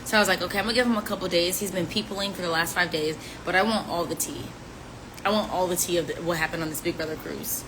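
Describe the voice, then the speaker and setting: A woman's voice: fairly low pitch, steady tone, fast. A woman in her twenties chatting casually and teasing while she answers fan questions on a live stream, with playful rhymes. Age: 20s. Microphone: phone. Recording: audio from a phone live stream with background noise.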